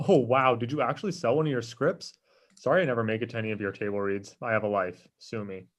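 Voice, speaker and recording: A man's voice: mid-range voice, quick pace, lightly acted. A man playing a cocky, mocking eighteen-year-old intern with snide, slangy delivery in a comedy table read.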